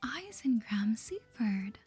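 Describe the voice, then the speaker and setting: A woman whispering soft-spoken ASMR commentary over a video game, chatty and gentle, reading dialogue aloud in a breathy voice. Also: on the high side, very animated, slow pace. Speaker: gaming video host. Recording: soft music behind the voice.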